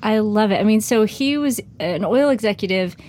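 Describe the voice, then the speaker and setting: A woman's voice: medium pitch, animated, moderate pace, a little mellow. Enthusiastic woman hosting an interview. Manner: enthusiastic, affirming interviewer who laughs easily. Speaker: podcast host. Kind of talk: interview conversation.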